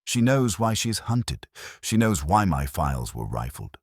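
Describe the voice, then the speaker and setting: Male narrator as imperious detective, very deep, husky, deliberate, precise, formal, storybook mystery. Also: very animated, no room sound, studio quality, heavily acted. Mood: stern, deliberate. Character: imperious middle-aged male genius detective, stern and deliberate.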